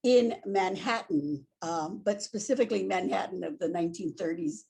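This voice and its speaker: An older woman from Hawaii, a guest in an interview, telling stories in an animated way and laughing easily. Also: lowish voice, moderate pace, gravelly, husky. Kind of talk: casual interview.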